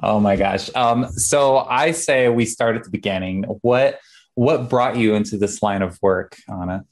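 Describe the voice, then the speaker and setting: A man's voice: lowish voice, a little slow. Enthusiastic male podcast host. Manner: enthusiastic, effusive praise. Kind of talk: casual interview hosting.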